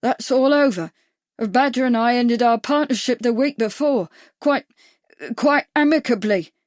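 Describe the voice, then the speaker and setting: A woman's voice: unhurried, no room sound, studio quality, lightly acted. A woman doing a stammering terrified little man. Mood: frightened. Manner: stammering, pleading, high and tearful. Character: frightened timid bespectacled man.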